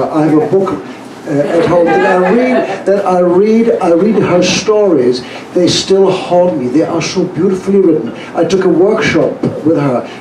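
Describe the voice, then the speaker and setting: A man's voice: fairly high pitch, warm tone. A man from outside the United States giving an informal, emphatic testimonial to an audience in short, hesitant phrases. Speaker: workshop student praising the host. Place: echoey room at a live event. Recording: echoey, distant mic with clear noise.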